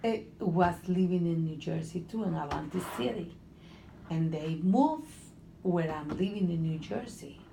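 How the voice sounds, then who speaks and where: A middle-aged woman from Honduras with a Spanish accent, speaking English as a second language in casual conversation, halting and often searching for words. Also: on the low side, creaky. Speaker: interviewee. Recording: echoey room with faint noise.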